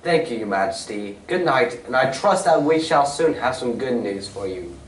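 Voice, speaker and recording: Man playing a lively, urbane detective, distant and roomy. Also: mid-range voice, normal speed, lightly acted. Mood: courteous, assured. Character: brisk, urbane male detective, coolly amused and courteous. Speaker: amateur stage actor. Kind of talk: 1940s-style radio mystery acting. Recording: roomy, distant stage recording with faint noise.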